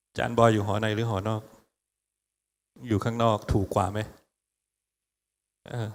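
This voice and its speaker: A man chatting casually with an audience in a university lecture hall, explaining things and fielding questions with relaxed fillers and restarts. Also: on the low side. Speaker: lecture moderator and interpreter. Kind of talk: casual Thai and English Q&A talk.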